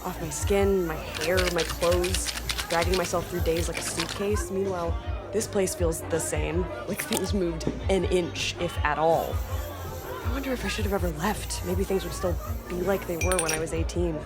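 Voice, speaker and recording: An actress playing a weary, dutiful adult daughter in a stage drama, earnest and wistful. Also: female voice, lowish voice, steady tone, moderate pace, over music, natural voice. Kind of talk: stage play acting.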